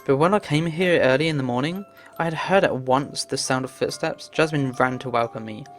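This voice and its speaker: A woman's voice: a bass voice, a little fast, faint music behind. A woman reading a visual novel aloud as narration, stumbling now and then and adding casual asides.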